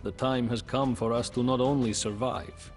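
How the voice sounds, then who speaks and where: An actor playing a solemn, grieving widowed medieval king, narrating his story in a game cutscene with grave, measured pauses. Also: medium pitch, steady tone, faint music behind, natural voice. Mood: proud, resolute. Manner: grave, measured, pausing, often breathy at phrase ends. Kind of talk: dramatic first-person storybook game narration.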